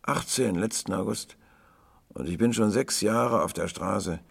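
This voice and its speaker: A man voicing a frail, chatty eighteen-year-old vagrant boy with a hoarse, breathless voice, as in a storybook reading. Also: fairly low pitch, a little slow, voice pushed up, exaggerated voice. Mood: boastful, chatty.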